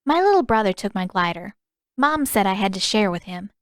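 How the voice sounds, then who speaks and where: Woman voicing a bossy, lively-pitched little girl. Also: brisk, no room sound, studio quality, close to an everyday voice. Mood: friendly, decided. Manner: blunt, authoritative, childlike. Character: bossy, blunt little girl of about ten.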